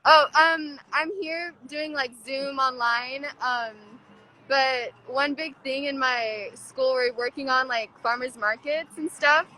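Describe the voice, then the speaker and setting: Bright, high-voiced young woman, slow delivery. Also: steady tone, low-quality recording. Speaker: interviewee, student. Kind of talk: casual chat. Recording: faint outdoor urban traffic noise.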